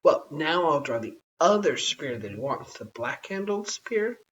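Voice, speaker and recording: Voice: medium pitch, big pitch swings, unhurried, fairly bright tone. An adult voicing an eager little girl, distant and hissy. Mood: cheerful, explaining. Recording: dull, hissy, distant home recording.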